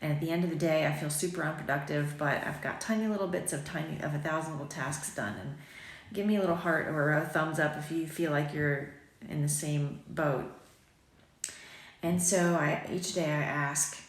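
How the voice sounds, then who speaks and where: Low-voiced woman chatting in an echoey kitchen. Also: normal speed. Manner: chatty, rambling, self-deprecating, with frequent ums. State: slightly raspy morning voice. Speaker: boutique owner vlogging. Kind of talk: casual morning chat to viewers. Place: sunny home kitchen, indoors. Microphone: phone camera at arm's length.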